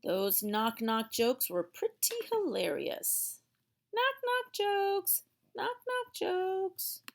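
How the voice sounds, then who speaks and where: A woman's voice: very high voice, lively intonation, extremely slow, creaky. A woman reading a storybook aloud for young children in a theatrical way, drawing out words for emphasis.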